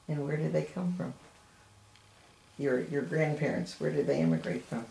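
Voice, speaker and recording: Older woman interviewer, gravelly and roomy. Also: low-pitched, normal speed, warm tone. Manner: friendly, encouraging. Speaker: oral history interviewer. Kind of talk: interview questions. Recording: echoey room, faint hiss.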